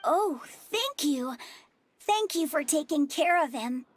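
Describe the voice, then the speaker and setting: A woman's voice: high voice, animated, takes it slowly, slight background noise. A woman voicing a polite, earnest little village boy in a video game. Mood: grateful.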